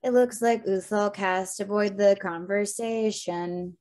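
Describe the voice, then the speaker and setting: A woman playing a quippy, playful comic-relief adventurer with wry delivery in an animated fantasy table read. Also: mid-range voice, slow, close to an everyday voice.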